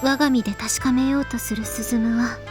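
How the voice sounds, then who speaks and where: A young woman playing an earnest, gently cautioning teenage schoolgirl in a Japanese visual novel. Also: on the high side, fairly even intonation, rapid-fire, faint music behind, lightly acted. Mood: grave warning.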